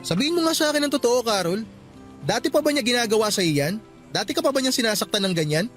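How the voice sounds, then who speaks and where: A protective young Filipino office guy in a radio drama. His voice sits high and moves quickly, earnest and emphatic as he looks out for his friend. Light music plays underneath. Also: expressive, fairly bright tone. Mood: indignant, protective. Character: caring, protective young male office worker, indignant friend.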